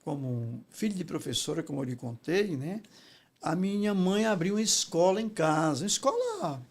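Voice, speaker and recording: A man's voice: high voice, very animated, moderate pace, gravelly. A Brazilian man speaking as an interview guest and public speaking teacher, telling stories in an emphatic, didactic way and often checking for agreement. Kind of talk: conversational storytelling in an interview.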